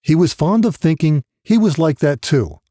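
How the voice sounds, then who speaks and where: A man's voice: on the high side, expressive, normal speed, mellow, no room sound, clean studio sound. A man reading a grim novel as scripted audiobook narration.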